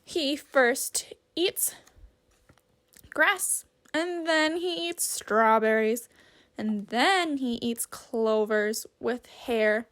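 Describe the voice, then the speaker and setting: A woman reading a storybook aloud in a deliberate, instructive teacher's manner, pausing to ask questions. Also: on the high side, fairly bright tone.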